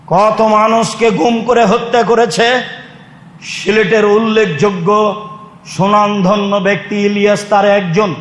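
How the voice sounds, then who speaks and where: A man's voice: extremely high pitch, fairly bright tone. A Bangladeshi man preaching an Islamic sermon in an emphatic, declamatory way to a congregation. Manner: emphatic, declamatory, with Arabic recitation. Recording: echoey hall with long reverb.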